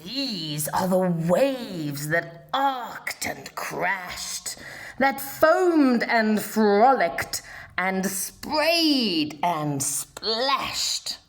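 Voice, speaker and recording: A woman reading a rhyming children's picture book aloud in an expressive, dramatic way with drawn-out phrasing. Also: on the high side.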